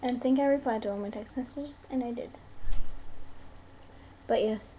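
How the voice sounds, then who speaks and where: A woman's voice: fairly high pitch, moderate pace, warm tone. A young woman chatting casually into a webcam in a bedroom, rambling in an informal, playful, easily sidetracked way. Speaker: video blogger. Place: small bedroom, indoors. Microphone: webcam mic at close range. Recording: noisy cheap webcam audio.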